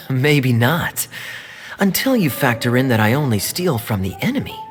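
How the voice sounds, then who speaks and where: A woman voicing a composed, gently teasing young thief in a video game cutscene. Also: a bass voice, very animated, measured pace, heavily acted. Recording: game dialogue over music.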